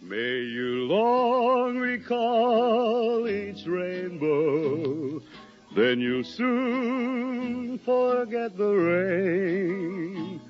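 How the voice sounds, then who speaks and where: A man's voice: high voice, animated, very slow. A man performing a sentimental farewell verse, half sung, on an old-time radio variety show. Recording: dull vintage radio broadcast with music. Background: orchestra music.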